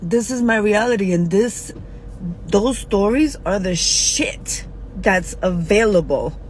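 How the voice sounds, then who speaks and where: A woman in her forties speaking in a frank, halting personal video, with many fillers and pauses. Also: medium pitch, expressive, slight background noise, rough recording. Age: 40s. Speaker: social media poster.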